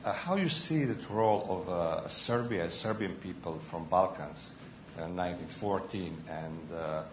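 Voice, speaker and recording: A man's voice: sits low, measured pace. A man, a journalist asking a question from the audience in hesitant, fragmented phrases. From Bosnia. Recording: echoey room with clear background noise.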